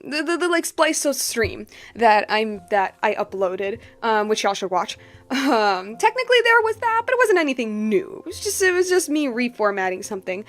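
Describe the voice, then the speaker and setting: A woman hosting a game livestream in casual chat, rambling informally and circling back on her phrases. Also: fairly high pitch. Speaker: game streamer, host.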